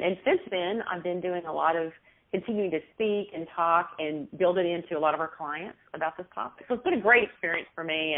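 Texan woman on a tinny phone line. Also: on the low side. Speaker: author and business owner, guest. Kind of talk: casual interview over the phone.

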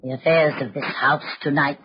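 A woman plays a stern colonial-era innkeeper in a radio play. She speaks in measured, archaic, foreboding phrases. The old recording is dull with some background noise. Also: low voice, steady tone, lightly acted. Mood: ominous. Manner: measured, archaic, ominous warnings. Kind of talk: old-time radio drama acting.

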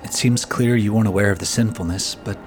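A man's voice: fairly low pitch, fairly even intonation, fast, music in the background, natural voice. An actor playing a polite, awkward young Quaker man in an audio drama, speaking hesitantly and apologetically. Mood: apologetic, awkward.

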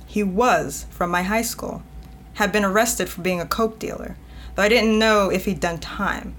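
A woman's voice: medium pitch, normal speed. A woman editor on a podcast, talking conversationally and reading a passage aloud. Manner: conversational, laughs often, expressive. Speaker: editor and guest.

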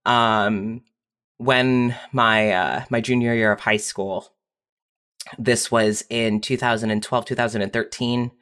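A young adult speaking casually and candidly, telling personal stories in a halting way with frequent repeated words. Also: medium pitch, fairly bright tone. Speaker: podcast guest writer.

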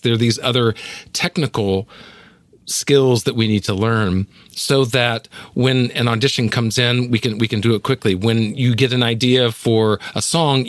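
A man's voice: medium pitch, dry recording, studio quality. A man hosting a casual interview, chatting in an anecdotal way and restating points for emphasis. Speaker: podcast host and actor.

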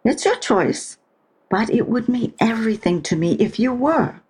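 A woman's voice: on the high side, lively intonation, darkish tone, slightly rough. A woman playing a blunt, stubborn older mother who is dying and estranged, in an audio drama. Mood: weary, resigned. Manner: blunt, deliberate.

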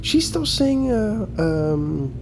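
A man's voice: high voice, very animated, drawn-out words, creaky, over music, heavily acted, poor audio. An actor playing an affable middle-aged father and corporate security chief in a naturalistic audio drama, easygoing and a little hesitant. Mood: awkward, hesitant.

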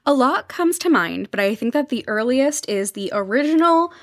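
A woman's voice: high voice, animated, normal speed, no room sound, clean studio sound. A woman chatting casually as a podcast guest, enthusiastic and droll. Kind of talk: casual chat.

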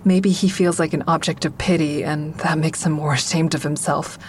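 A woman's voice: low voice, steady tone, moderate pace, a little hiss or hum, natural voice. An actress playing a tense, watchful woman who narrates her own troubled story in an audio drama. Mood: somber, uneasy. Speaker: narrator and lead actor in a fiction podcast. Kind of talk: first-person dramatic narration.

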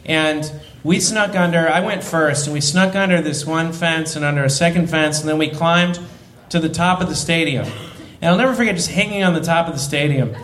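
A man's voice: fairly high pitch, a little fast, reverberant. Laughing man telling a story. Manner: animated storyteller, laughs often, many fillers. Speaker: podcast co-host. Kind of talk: casual storytelling.

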